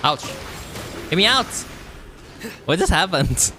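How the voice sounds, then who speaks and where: A man's voice: a little high, sing-song, takes it slowly. A young man with a non-native English accent chatting casually while streaming a game, rambling and answering his chat.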